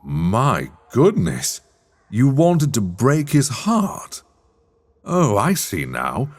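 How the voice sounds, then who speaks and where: A man acting a sly, teasing master of a magic academy in a dark fantasy ASMR roleplay, speaking in measured, wry, formal phrases. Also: mid-range voice, wildly swooping pitch, full character voice. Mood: puzzled, amused.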